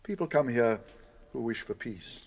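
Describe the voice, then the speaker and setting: A man's voice: mid-range voice, lively intonation, normal speed. A man acting a wise old wizard, stately, kindly and commanding, in a radio drama. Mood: gentle, stately.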